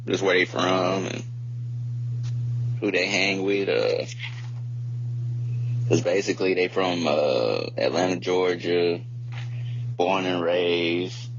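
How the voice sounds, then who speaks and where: A man's voice: deep voice, slow pace, pauses often. A man in his thirties chatting casually as a podcast co-host, rambling along in loose, slangy, informal phrasing. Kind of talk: casual chat. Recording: muffled lo-fi audio with background hum.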